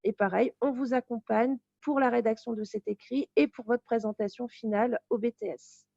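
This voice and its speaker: High-voiced woman explaining a course, dull audio. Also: steady tone, moderate pace, vocal fry, warm tone, no echo. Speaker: teacher presenting a real estate course. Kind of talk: informal explanatory presentation.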